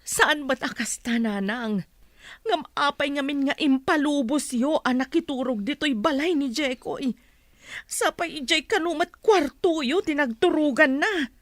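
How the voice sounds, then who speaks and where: A woman plays a worried, soothing mother in an Ilocano radio drama. Her high voice is recorded dry, and she speaks with earnest pleading. Her accent is Ilocano. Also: a little slow, a bit bright, no echo. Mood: aggrieved, earnest. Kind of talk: Filipino radio drama acting.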